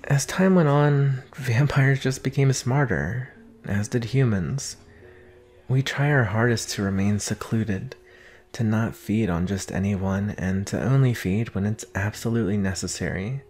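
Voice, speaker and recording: A young man playing an anxious, protective vampire boyfriend in an intimate roleplay audio drama, speaking in an earnest, halting way with sighs. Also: fairly low pitch, a little mellow, close to an everyday voice. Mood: somber, confiding. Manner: halting, sighing, earnest delivery.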